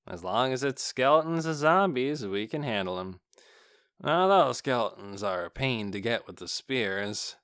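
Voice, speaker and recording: A man's voice: mid-range voice, lively intonation, unhurried, a bit bright, clear, resonant tone, no echo, clean studio sound. A man voicing an ordinary, chatty night-watch guard in an audiobook, griping in a colloquial way. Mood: casual. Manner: colloquial, laid-back griping. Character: casual, chatty male night-watch guards, uneasy but joking. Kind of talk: audiobook character dialogue.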